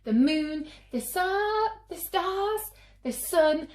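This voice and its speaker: Woman as pleading mum, storybook, high voice, animated swoops, coaxing, gushing, desperate. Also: extremely slow, reverberant, full character voice. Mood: frantic, desperate. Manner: coaxing, gushing through escalating lists. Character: pleading mum, increasingly desperate, bribing her child.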